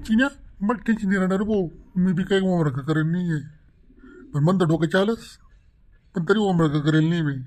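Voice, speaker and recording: A sheepish cartoon village schoolboy voiced by a man, dark and mellow in tone yet slightly high for a male. He has a Khandeshi Ahirani accent and a wordy, excuse-making way of talking. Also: a little fast, lightly acted. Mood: worried. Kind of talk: comedy cartoon dialogue.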